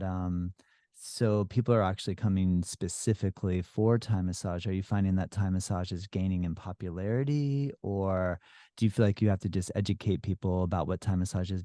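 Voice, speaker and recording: A man hosting a podcast, his voice very low and mellow. The sound is dry and close, and he strings his questions together loosely while warmly affirming the guest. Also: dry recording. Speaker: podcast host. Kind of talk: casual interview.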